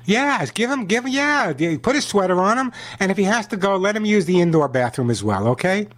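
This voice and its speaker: A man hosting a call-in radio pet show, from New York, talking fast and repeating himself as he pitches products and gives advice. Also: sing-song, fairly bright tone. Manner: rapid, repetitive sales pitch and chatty advice.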